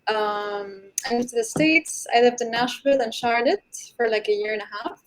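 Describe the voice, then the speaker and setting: A woman's voice: fairly high pitch, moderate pace, no echo, low-quality recording. A woman in her twenties who grew up in Lebanon, introducing herself casually with fillers as a podcast guest. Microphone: video call.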